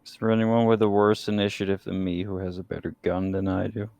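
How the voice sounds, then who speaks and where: A man's voice: sits low, level tone, a little fast, gravelly, a little mellow. A man chatting casually as a player in a tabletop game. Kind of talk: casual chat.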